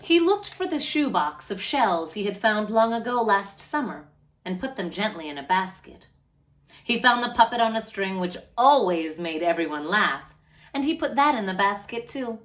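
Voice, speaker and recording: A woman reads a storybook aloud for young children. Her pitch moves up and down a great deal, giving the narration an animated, lilting, expressive feel. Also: normal speed. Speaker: children's read-aloud host.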